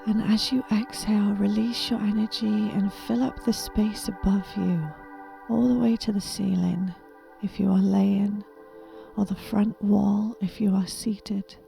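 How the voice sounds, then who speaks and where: A woman with a soft, breathy, whispery voice gently and soothingly guiding a meditation in a deliberate manner. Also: mid-range voice, flat delivery, faint music behind. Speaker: meditation guide. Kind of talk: guided meditation, soft half-whispered narration.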